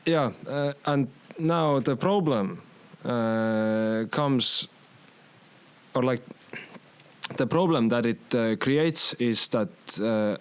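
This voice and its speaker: A man's voice: mid-range voice, drawn-out words, clean tone. A man with an Estonian accent, a native Estonian speaker, hosting a casual podcast and searching for his words. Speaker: podcast host and musician. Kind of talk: casual conversational interview.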